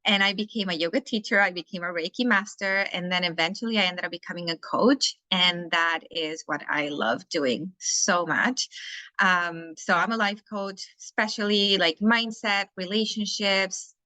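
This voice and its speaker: A Chilean woman speaking English with her native Spanish still in her accent. She talks in a frank, outspoken way, and her voice comes through bright and tense. The sound is very dry, with no room around it. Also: lowish voice, normal speed, dry recording. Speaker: guest, life coach. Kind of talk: casual interview conversation.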